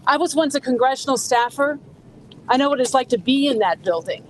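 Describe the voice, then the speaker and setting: A woman's voice: high-pitched, expressive, a little fast, a little hiss or hum, low-quality recording. A woman speaking earnestly and indignantly at an outdoor press conference. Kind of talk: press statement. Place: outdoors on a city street.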